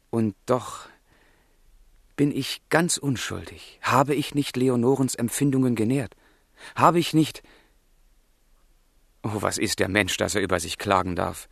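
A man's voice: on the low side, dry recording, clean studio sound. An actor playing a sensitive, impassioned young man who voices his letter in a lyrical radio drama monologue. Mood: troubled, self-questioning. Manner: fervent, self-questioning, lyrical.

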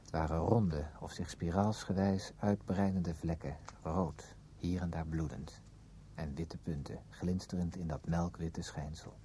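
An earnest confidant telling his story in a radio drama. He has a deep, dark voice and a careful, literary delivery. A faint hum sits in the recording. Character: earnest male friend, probing, narrating his discovery. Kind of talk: Dutch radio play acting and narration.

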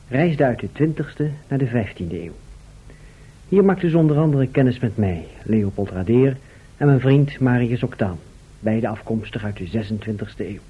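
A man playing a time traveller who narrates his adventure in a radio play, measured and suspenseful. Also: medium pitch, breathy edge. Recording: muffled, phone-like old radio sound.

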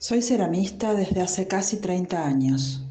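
A woman ceramist speaks bluntly in a very low, dark voice. The sound is roomy and echoing. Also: expressive, unhurried, soft background music. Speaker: ceramic artist.

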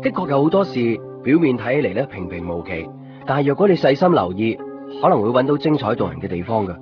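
A man's voice: mid-range voice, very animated, quick pace, lo-fi sound, close to an everyday voice. A man acting an observant, introspective copy-shop worker who narrates a radio drama. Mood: reflective. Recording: band-limited 1990s radio recording, music behind. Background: clear background music.

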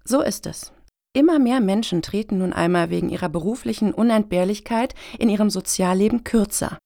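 A woman's voice: mid-range voice, a little fast, creaky, mellow, natural voice. An actress playing an earnest, self-important middle-aged woman activist in a satirical radio play, speaking formally and wordily. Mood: solemn.

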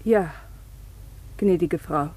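Worried loyal fräulein in a radio drama, dark husky voice, full of foreboding. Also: female voice, lowish voice, drawn-out words, exaggerated voice, low-quality recording. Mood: urgent, warning. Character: devoted, anxious unmarried woman, full of foreboding. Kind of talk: German radio play acting.

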